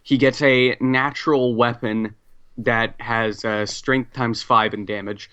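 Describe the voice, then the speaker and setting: A man playing a tabletop role-playing game, explaining his character in a halting, casual way. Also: mid-range voice, fairly even intonation, sharp tone, clear, resonant tone, lo-fi sound.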